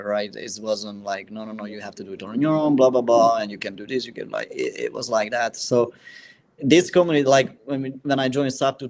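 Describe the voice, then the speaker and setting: Hungarian-accented man, halting chat. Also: mid-range voice. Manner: halting, many fillers and restarts, leaning on "like" and "right?". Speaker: guest, real estate investor. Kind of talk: casual podcast conversation.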